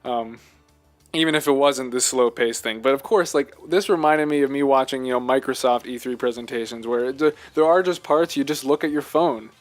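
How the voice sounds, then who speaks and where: A man co-hosting a podcast, chatting casually about games in a halting, rambling way. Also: medium pitch, faint music behind. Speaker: podcast co-host. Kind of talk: casual chat, video game discussion.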